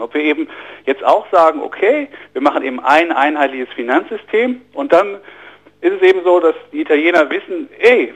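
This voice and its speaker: A man's voice: a little high, poor audio. A man with a German accent, a financial journalist giving a radio interview, explaining economics fluently but with many fillers and repeated words. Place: radio studio.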